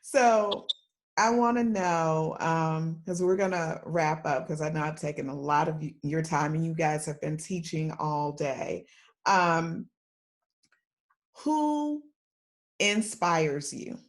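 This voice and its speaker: A woman's voice: sits low, slow pace. A woman hosting a podcast interview, asking questions and affirming her guests.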